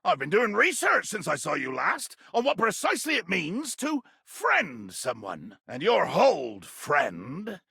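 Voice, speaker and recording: An actor playing a small, hot-tempered, pompous pirate in a video game, with a gravelly, raspy voice and florid, theatrical, old-fashioned phrasing. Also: male voice, sits high, wildly swooping pitch, a little slow, hardly any pauses, bright, forceful tone, dry recording, clean studio sound, heavily acted. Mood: blustering, friendly. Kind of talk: video game character acting.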